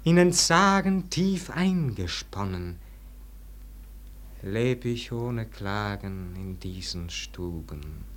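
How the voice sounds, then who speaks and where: An actor playing a weary, disillusioned nobleman in a classic German radio verse drama, declaiming solemnly and theatrically, at times falling to hushed tones. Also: low voice, slight background noise, full character voice. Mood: resigned, hushed. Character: weary, disillusioned nobleman brooding over an unlived life.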